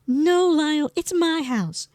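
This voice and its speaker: Woman playing a very high-pitched bossy nag. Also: lively intonation, takes it slowly, darkish tone, dry recording, studio quality. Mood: bossy, irritated. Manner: brusque, colloquial, scornful. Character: brash, bossy, foul-mouthed woman, impatient nag. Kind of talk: comedic audio drama acting.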